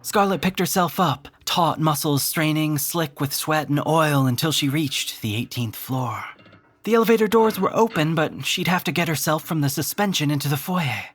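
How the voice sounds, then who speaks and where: A man narrating a scripted audio drama in a dramatic, deliberate storytelling manner. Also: on the high side, animated, fairly bright tone.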